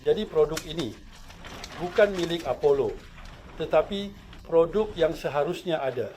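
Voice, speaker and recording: A man's voice: fairly high pitch, lively intonation, moderate pace, a little mellow, slight background noise. A man speaking as a company representative in an interview, explaining carefully and hesitantly. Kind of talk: interview statement.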